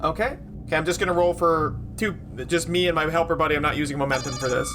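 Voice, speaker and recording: A man's voice: fairly high pitch, fairly even intonation, fast talker, background noise. A man playing a tabletop role-playing game, chatting casually about his rolls.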